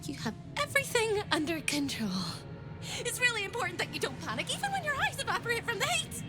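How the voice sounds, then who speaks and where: A woman's voice: very high-pitched, sing-song, fast, loud music behind the voice. An actress playing a frightened, unhinged captive woman in a video game, chirpy and theatrical, with erratic delivery. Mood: manic, chirpy. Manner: theatrical, erratic, swinging from singsong to hushed. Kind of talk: video game character acting.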